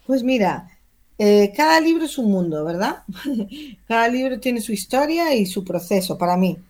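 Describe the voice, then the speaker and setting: A woman with an accent from Spain, speaking as an interviewed novelist in a talkative, expressive, conversational way. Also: a little high, moderate pace. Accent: Spanish, from Spain.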